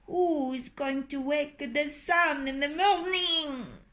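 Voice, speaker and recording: Woman reading as a crafty storybook rooster: high, coaxing, slow and pleading. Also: fairly bright tone. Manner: wheedling, pleading. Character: clever proud male rooster, pleading.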